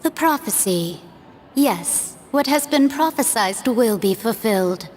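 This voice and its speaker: A woman voicing a calm, mysterious woman guide in a video game, speaking unhurried with a playful edge. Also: fairly high pitch, a little hiss or hum. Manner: unhurried, softly teasing. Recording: long hall reverb.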